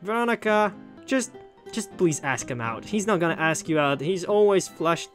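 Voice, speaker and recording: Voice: medium pitch, lively intonation, normal speed. A gameplay commentator reading a visual novel aloud, informal and rambling, repeating phrases for emphasis. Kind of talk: let's play commentary with voiced dialogue. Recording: music behind the voice.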